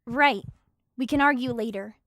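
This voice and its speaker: A woman playing a bold, practical female fighter, protective of her friends, in a fantasy audio drama with brisk delivery. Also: on the high side, a bit bright, no echo, studio quality. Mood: determined.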